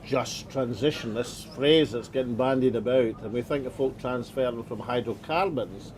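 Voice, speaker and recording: Host asking leading questions. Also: male voice, medium pitch, normal speed, darkish tone. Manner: leading questions, restarts, tag questions. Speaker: host and interviewer. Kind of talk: conversational interview. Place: on a small boat offshore.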